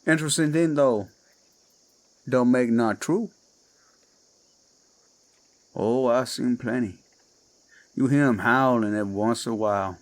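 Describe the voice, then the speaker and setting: A man with a Cajun accent playing a menacing male werewolf who poses as a friendly swamp guide, talking in a slow, coaxing drawl in a horror audio drama. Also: mid-range voice, natural voice.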